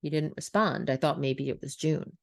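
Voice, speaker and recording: A woman voicing a calm, concerned middle-aged man in an audio story, subdued in manner. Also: sits low, normal speed, dry recording, clean studio sound.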